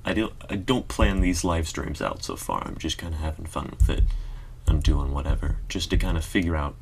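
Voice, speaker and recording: A man's voice: extremely low pitch, expressive, brisk, creaky, slight background noise. A man chatting casually and rambling in a self-deprecating way while playing a computer game on a live stream.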